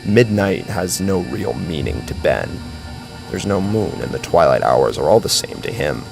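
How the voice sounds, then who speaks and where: Deep, gravelly male horror narrator. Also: sing-song, measured pace, over music, rough recording. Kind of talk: dramatic scripted horror narration.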